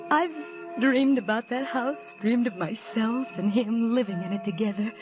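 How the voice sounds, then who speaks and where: An obsessive, lovesick young woman played by a radio actress speaks in a high, fervent voice. She pines and pleads with breathy, dramatic intensity in 1940s suspense style. Music plays behind her. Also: moderate pace, highs cut off, natural voice. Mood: yearning.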